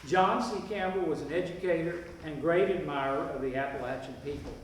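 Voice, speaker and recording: A man's voice: on the high side, brisk, lightly acted. A man playing a folksy small-town narrator, introducing and telling the story in a staged play reading. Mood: folksy, welcoming. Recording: echoey hall from far off, hiss.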